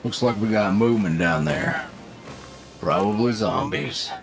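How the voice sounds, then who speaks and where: A man's voice: fairly low pitch, expressive, unhurried. A man playing a laconic, dry-witted fighter in a fantasy audio drama. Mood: alert, unhurried. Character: laid-back, dry-witted male fighter of a fantasy crew. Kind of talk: fantasy audio roleplay acting. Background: music.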